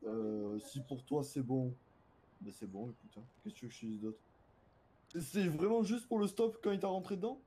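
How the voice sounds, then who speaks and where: A man's voice: mid-range voice, lively intonation, moderate pace, mellow. A man on staff in an online roleplay game, chatting casually and a little hesitantly over voice chat. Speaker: game server staff member.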